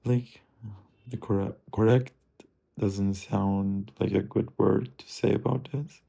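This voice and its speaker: Man, low voice, very slow, musing self-talk, profane, drifting. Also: fairly even intonation, gravelly, mellow. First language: Turkish. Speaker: streamer. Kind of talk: rambling self-talk monologue.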